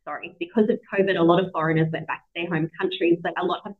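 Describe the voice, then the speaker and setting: A woman in her thirties with an Australian accent, hosting a casual chat, lively and quick to laugh. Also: on the low side. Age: 30s. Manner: chatty, animated, laughs a lot. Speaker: podcast host. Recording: tinny phone-like call audio.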